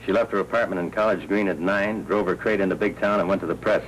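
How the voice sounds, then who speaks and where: Man as American noir henchman, very low voice, businesslike, old film track with faint noise. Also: fairly even intonation, fast talker, close to an everyday voice, poor audio. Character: businesslike gangland henchman reporting to his boss. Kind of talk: 1940s film noir acting.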